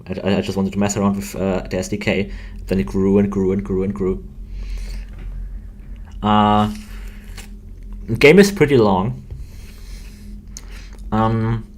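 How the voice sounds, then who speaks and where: A young man of about twenty with an Austrian German accent, chatting casually and hesitantly on a livestream, rambling and interrupting himself. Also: fairly low pitch. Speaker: game developer hosting a livestream. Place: indoors at a computer desk.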